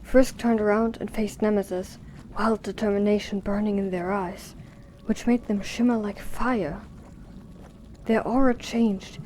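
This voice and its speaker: A woman narrates a scripted drama in a warm, dark-toned voice of average pitch. A faint ambient noise sits behind her reading. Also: fairly even intonation, unhurried, rough recording.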